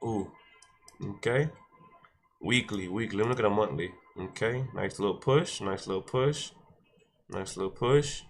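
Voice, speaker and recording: A man's voice: on the low side, moderate pace, pauses often, slight background noise. A man with a stuffy nose hosting a day-trading livestream, repeating himself and talking straight to his chat. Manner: repetitive, exhorting, addresses chat directly. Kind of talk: casual livestream talk with a short devotional.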